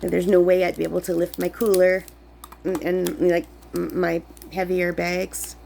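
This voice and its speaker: A sick woman, chatting in a rambling way while crafting, her voice lower than usual. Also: flat delivery, normal speed, vocal fry, darkish tone, a little hiss or hum. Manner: chatty, rambling, many fillers. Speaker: crafting vlogger. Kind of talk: casual chat while crafting. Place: camp cabin indoors.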